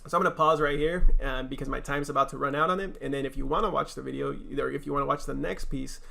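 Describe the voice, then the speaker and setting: A man's voice: mid-range voice, steady tone, quick pace, vocal fry, sharp tone. A man reading a children's story aloud as a teacher, with casual asides.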